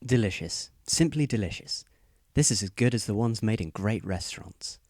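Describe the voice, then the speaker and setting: A man playing a polite, self-assured teenage schoolboy in an audio drama, explaining things in formal, measured sentences. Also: fairly low pitch, expressive, a little mellow, no echo, clean studio sound. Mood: pleased, flattering. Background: music under the voice.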